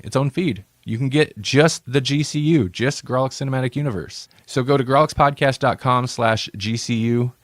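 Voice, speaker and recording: A man's voice: medium pitch, dry recording. A male podcast host in casual banter, playful and rambling, laughing often.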